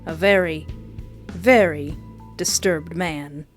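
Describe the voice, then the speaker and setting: A woman's voice: sits low, slow. Dramatic woman narrating a countdown over music. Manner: dramatic, emphatic storytelling. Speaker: host of a countdown video.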